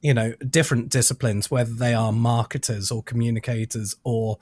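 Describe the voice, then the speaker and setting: A British man hosting a podcast interview, asking questions in deliberate, wordy phrasing. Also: mid-range voice, steady tone, a little mellow, slightly rough, no room sound. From United Kingdom.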